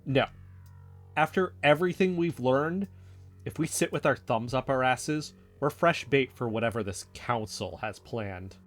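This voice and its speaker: Audio drama role: skeptical, dry-witted male investigator, played by a man, medium pitch, conversational, guitar bed. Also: unhurried, close to an everyday voice. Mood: exasperated. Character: suspicious, sardonic, guarded man, an amateur investigator. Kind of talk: naturalistic audio drama dialogue.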